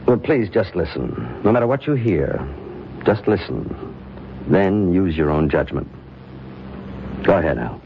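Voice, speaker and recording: An actor playing an earnest, insistent mentor, intense and persuasive, in old-time radio drama style. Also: male voice, sits low, sing-song, a little slow, gravelly, pauses often, slight background noise, exaggerated voice. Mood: earnest, pleading. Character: earnest, insistent male mentor urging a troubled man. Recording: dull lo-fi old radio recording.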